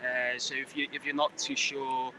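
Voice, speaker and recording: Liverpool-accented man, mid pitch, halting casual chat outdoors, clear traffic and train noise behind. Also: little pitch movement. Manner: hesitant, many fillers and restarts, level rising and falling. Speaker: guest. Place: outdoors at a railway station. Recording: noisy outdoor livestream. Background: trains and street noise.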